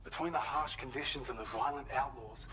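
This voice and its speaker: An actor playing a calm male facility contact in a video game, speaking in a measured, formal, friendly way. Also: medium pitch, background noise, lightly acted. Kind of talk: scripted game dialogue.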